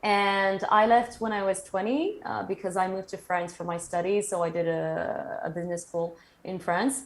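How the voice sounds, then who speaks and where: A woman in her thirties from Morocco, a guest chatting casually in an interview, talking lively and laughing often. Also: mid-range voice, moderate pace.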